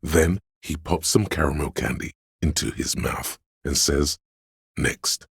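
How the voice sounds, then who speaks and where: Very deep gravelly grave game narrator. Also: male voice, a little slow, no room sound, studio quality, heavily acted. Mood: grave, measured. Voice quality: very deep gravelly husky rasp. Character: inner voice of police camaraderie, grave omniscient male narrator. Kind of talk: dramatic literary game narration.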